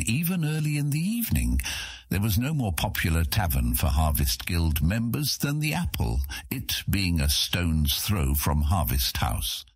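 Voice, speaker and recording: Deep, husky male audiobook narrator. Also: no room sound, clean studio sound. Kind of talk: scripted fantasy narration.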